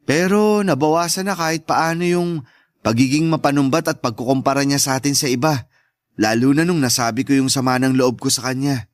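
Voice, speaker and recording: A man's voice: medium pitch, brisk, no echo, studio quality, lightly acted. An actor playing a humble, grateful young man talking to his older sister in a Tagalog radio drama, earnest, with a Filipino accent. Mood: light, familial.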